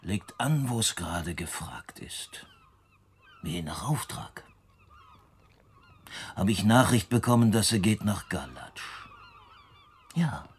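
An actor in a German radio play, playing a harbour shipping informant who talks in halting, clipped phrases. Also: male voice, fairly low pitch, big pitch swings, a little hiss or hum. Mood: laconic. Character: slow, husky-voiced male harbour shipping informant.

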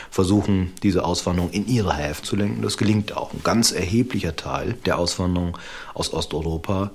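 A man explaining history as an interviewed expert, deliberate and emphatic, with academic phrasing. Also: sits low, breathy edge.

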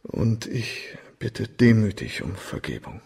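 An actor playing an accused doctor in a radio crime drama, formal, defensive and deferential. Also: male voice, on the low side, big pitch swings, takes it slowly, creaky, a little mellow, slightly rough, full character voice. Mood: humble, nervous. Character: accused doctor, male, pleading and humbly deferential.

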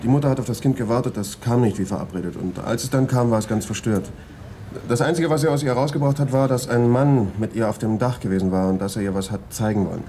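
A man's voice: mid-range voice, quick pace, mellow, slight background noise, lightly acted. A man playing a chatty, crude but deferential street cop in a German radio drama. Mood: reporting. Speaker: actor.

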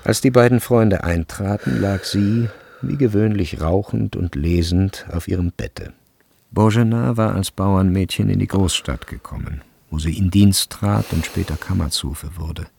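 A man narrating a literary radio drama from a script, with measured and deliberate phrasing. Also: low voice, gravelly, a little mellow, slight background noise.

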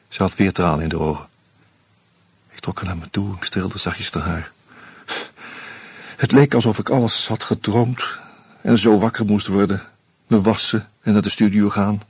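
A man reading a first-person novel aloud in a calm, measured, subdued way. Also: on the low side, husky, no echo. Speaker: narrator.

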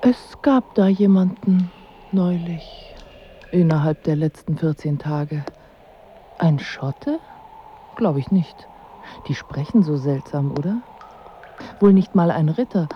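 An actress playing a languid, coolly ironic royal wife in a radio drama, with dry and formal diction. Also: female voice, low voice, slow, long pauses, lo-fi sound, a little hiss or hum. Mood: evasive, wistful. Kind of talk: literary radio drama acting.

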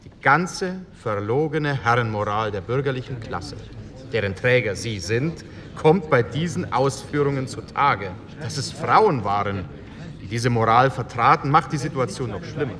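A man's voice: lively intonation, normal speed, a bit bright, close to an everyday voice. Indignant parliamentarian played by a man in a reverberant hall. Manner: declamatory, biting. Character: indignant male communist parliamentarian in a postwar assembly. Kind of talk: re-enacted parliamentary speech. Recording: hall reverb, low rumble, faint noise.

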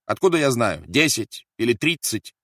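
A man's voice: mid-range voice, big pitch swings, normal speed, pushed and bright, no echo, clean studio sound, pitched above the performer's own voice. A man voicing a ruthless, overwrought Nazi officer who barks commands and threats in a dramatised audiobook. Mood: tense, impatient. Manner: commanding, menacing, barking orders.

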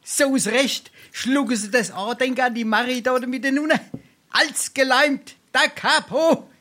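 Brazen sponger and tippler for dialect comedy; Darmstadt accent, florid, theatrical, silver-tongued. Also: male voice, extremely high pitch, steady tone, unhurried, sharp tone, exaggerated voice. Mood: chummy, tipsy. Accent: South Hessian, Darmstadt dialect. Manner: florid mock-pompous speech, theatrical sighs. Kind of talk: dialect stage comedy acting.

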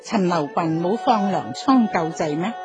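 An actress playing a commanding yet compassionate empress dowager in a Cantonese radio drama, formal and deliberate in manner. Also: female voice, on the low side, expressive. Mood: kindly, probing. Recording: music behind the voice.

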